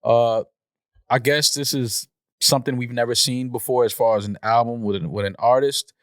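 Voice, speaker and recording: A man's voice: mid-range voice, fairly even intonation, moderate pace, no echo, studio quality. An American man around forty, hosting a casual podcast discussion. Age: 30s or 40s. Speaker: podcast host.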